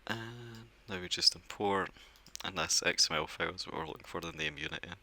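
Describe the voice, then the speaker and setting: A man with a low, gravelly voice talks through a software setup one step at a time. His tone is bright and forceful despite the depth, and he keeps things relaxed with small fillers as he clicks along. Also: fairly quick, no room sound. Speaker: software tutorial presenter. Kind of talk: screen-recorded software walkthrough.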